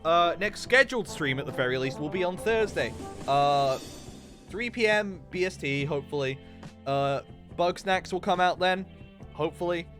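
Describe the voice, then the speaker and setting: Young male streamer, loose rambling chat, fairly high voice. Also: lively intonation, normal speed. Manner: rambling, loose, with many fillers and restarts. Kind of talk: casual livestream chat while gaming. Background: game music.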